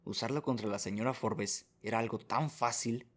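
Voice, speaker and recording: A man's voice: mid-range voice, normal speed. A man reading scripted first-person story narration as the narrator of a radio drama.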